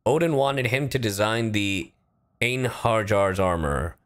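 Animated low-voiced male game streamer. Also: unhurried. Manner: animated, playful, profane. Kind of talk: live gameplay commentary.

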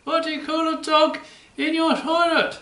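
A man's voice: extremely high pitch, flat delivery, normal speed, pushed and bright, echoey room, recorded from a distance, voice pushed up, exaggerated voice. A man voicing a cheeky, childlike monkey puppet, silly and eager. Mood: playful.

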